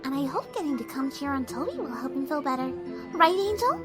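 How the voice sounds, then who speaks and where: A woman voicing a shy, gentle young pony who loves animals, hesitant and apologetic, in an audio drama. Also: high voice, sing-song, brisk, over loud music. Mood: tender, apologetic. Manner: soft-hearted, hesitant, apologetic.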